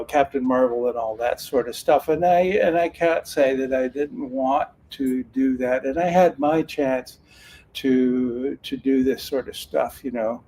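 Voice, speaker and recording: A man's voice: medium pitch, darkish tone. Older man, retired lawman turned card reader: earnest, folksy storyteller, mid-range voice. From Northern California. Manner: earnest, folksy, with frequent restarts. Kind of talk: casual storytelling talk.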